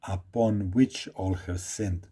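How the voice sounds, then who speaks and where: A man with a Croatian accent talks slowly through a Bible study, dwelling on each phrase and repeating himself as he reads. His voice sits low and mellow, with a husky edge. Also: steady tone. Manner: slow, deliberate, repeats phrases while reading aloud. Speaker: Bible teacher. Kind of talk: informal Bible study talk.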